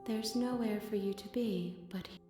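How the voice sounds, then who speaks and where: An actress playing a vast, calm, ancient otherworldly being in a video game, speaking slowly and solemnly. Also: female voice, mid-range voice, lightly acted. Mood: serene, solemn. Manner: slow, solemn, cryptic. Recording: echoing voice over music.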